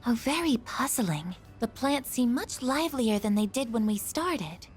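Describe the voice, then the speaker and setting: A gentle, literal-minded female android in a video game. Her voice is fairly high and lilting, with formal, precise phrasing and earnest curiosity, over music. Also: animated, a little slow. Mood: curious, earnest. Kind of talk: English video game dub acting.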